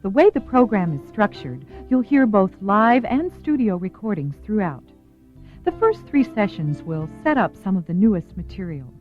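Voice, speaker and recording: A woman's voice: mid-range voice, sing-song, normal speed, faint music behind. Woman narrator, tinny band-limited sound. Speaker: program announcer. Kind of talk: scripted promotional narration.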